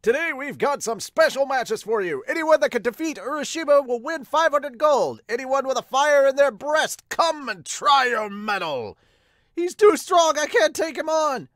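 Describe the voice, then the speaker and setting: A man voicing an excitable, showman-like sumo match announcer in a manga dub. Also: very high-pitched, moderate pace, no echo, studio quality, pitched above the performer's own voice. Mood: hyped. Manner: showman-like, exclamatory. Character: excitable male sumo match announcer hyping an unbeatable champion.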